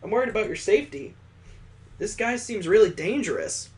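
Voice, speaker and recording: Streamer doing worried young son from a visual novel, earnest, bit unsure, mid pitch. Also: animated, brisk, natural voice.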